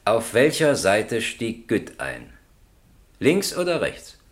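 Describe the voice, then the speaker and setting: An actor playing a stern, formal presiding judge who questions with authority in a German radio crime drama. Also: male voice, mid-range voice, huge pitch swings, slow. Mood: probing. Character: stern, probing male presiding judge in a courtroom.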